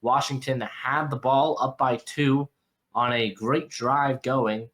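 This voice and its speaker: A man's voice: medium pitch, steady tone, slow, fairly bright tone. A young man hosting a football podcast, congested from a cold, rambling through a casual game breakdown and often repeating and correcting himself. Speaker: sports podcast host.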